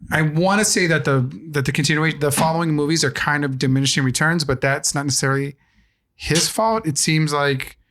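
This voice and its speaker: Podcast dude, mid voice, rambly, lots of restarts, dry jokes. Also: male voice, medium pitch, a bit bright. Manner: rambling, halting, wry asides. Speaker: podcast co-host. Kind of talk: casual podcast chat.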